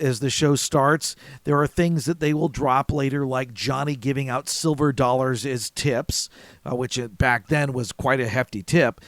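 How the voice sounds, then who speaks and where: A man's voice: on the high side, no room sound. A man presenting an introduction as host of an old-time radio program. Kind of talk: scripted-sounding introduction, presenting.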